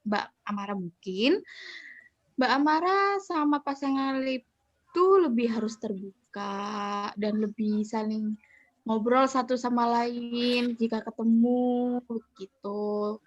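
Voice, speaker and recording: A woman playing a calm 35-year-old counselor who leads a group session on a video call and reassures the members. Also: on the high side, dry recording. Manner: gentle, reassuring, with polite fillers. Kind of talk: student counseling roleplay. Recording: dull, dry video-call audio.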